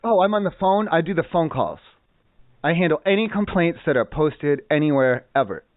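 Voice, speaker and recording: A man playing an evasive, absurdly earnest customer service agent in a prank call, halting, deadpan and pedantic. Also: fairly high pitch, no room sound, natural voice. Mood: earnest, bumbling. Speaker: prank caller posing as a complaints phone agent. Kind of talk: improvised prank phone call. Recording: tinny, band-limited phone-show audio.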